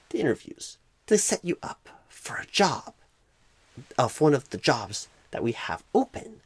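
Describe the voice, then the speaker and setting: Man putting on a halting, swooping, clueless job recruiter. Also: mid-range voice, slightly rough, no room sound, exaggerated voice. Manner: halting, odd pauses and stresses, rambling, repetitive. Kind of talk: ASMR roleplay interview.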